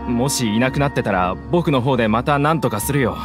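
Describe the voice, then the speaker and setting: A man's voice: medium pitch, a little fast, close to an everyday voice. An actor playing a nervous, evasive young male smuggler in a game dub, pleading and dodging blame. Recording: game audio with loud music behind.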